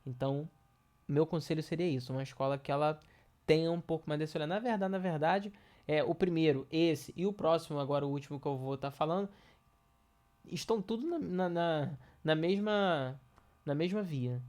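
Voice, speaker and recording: A man's voice: on the high side, moderate pace, darkish tone. Nasal man with a cold. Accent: Brazilian Portuguese. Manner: conversational, many fillers and restarts. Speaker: educator. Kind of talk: casual educational talk to parents.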